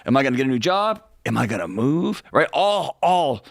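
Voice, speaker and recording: A man's voice: mid-range voice, sing-song, normal speed, no room sound, clean studio sound. A man in his forties co-hosting a radio talk show, talking earnestly and emphatically in casual chat. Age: 40s. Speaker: co-host.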